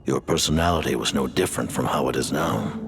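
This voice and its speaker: A man playing a grave, aloof ancient mastermind in a video game cutscene, speaking in a measured, formal, imperious way with a gravelly voice. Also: very deep voice. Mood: aloof, reflective. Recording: background music.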